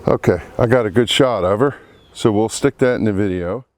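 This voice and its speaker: A man with a cold and a froggy, congested voice, talking slowly through a beehive inspection outdoors among buzzing bees. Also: on the low side, darkish tone, a little hiss or hum. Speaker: beekeeper. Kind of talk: casual hive inspection commentary. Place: outdoor apiary.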